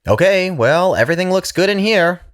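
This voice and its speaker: A man voicing a young male doctor who is brisk, robotically pleasant and detached. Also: high voice, expressive, fairly bright tone, pitched above the performer's own voice. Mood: detached cheer.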